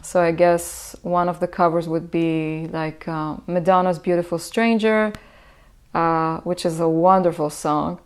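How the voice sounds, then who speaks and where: Woman, Israeli accent, Hebrew native, low-pitched, even and flat delivery, hesitant, thoughtful interview answers. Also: measured pace, smooth. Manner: reflective, hesitant with many fillers and restarts. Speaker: musician.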